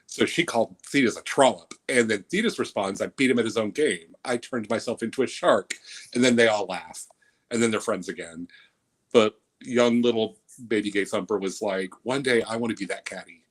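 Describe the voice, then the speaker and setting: A man's voice: fairly low pitch, normal speed. Campy man quoting film lines. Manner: campy, playful, quotes film lines with relish. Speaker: podcast co-host. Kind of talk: casual chat.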